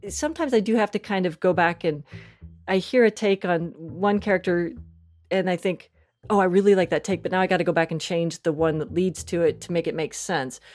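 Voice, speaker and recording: Low-voiced woman in a casual interview. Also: a little fast, a little mellow. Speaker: interview guest, audio fiction writer and producer.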